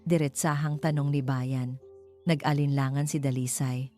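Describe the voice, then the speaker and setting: A woman's voice: a bass voice, level tone, moderate pace, warm tone. A woman reading a scripted story as its narrator, over background music. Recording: clear music bed under narration.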